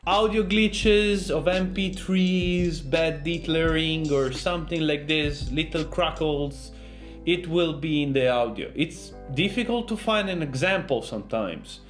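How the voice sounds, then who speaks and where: A man with a fairly high voice and non-native English explains sound design. He is informal and jokes at his own expense. Music plays faintly behind him. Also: takes it slowly. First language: not English. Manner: joking, self-deprecating, informal. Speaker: sound designer, tutorial host. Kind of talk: informal video tutorial.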